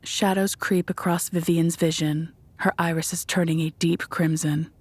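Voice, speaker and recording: A woman's voice: on the low side, level tone, a little slow, a little mellow, breathy edge, no room sound. A woman reading scripted, dramatic narration for a horror audio drama.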